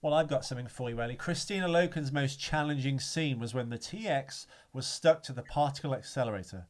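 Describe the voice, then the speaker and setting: A man's voice: mid-range voice, a little fast. Young man with Birmingham accent, podcast banter. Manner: dry teasing banter, reads trivia aloud. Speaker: podcast co-host. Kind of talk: casual chat.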